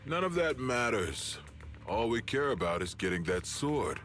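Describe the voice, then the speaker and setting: A man's voice: medium pitch, sing-song, unhurried, fairly bright tone, faint music behind. An actor playing a cocky, callous male villain henchman in a video game, taunting and sneering.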